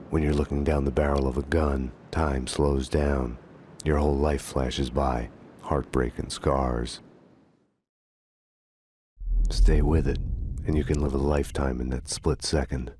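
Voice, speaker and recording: An actor playing a world-weary hard-boiled detective, narrating a noir game in a grim deadpan. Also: male voice, very deep voice, a little slow, slight background noise. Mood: deadpan, fatalistic. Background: music.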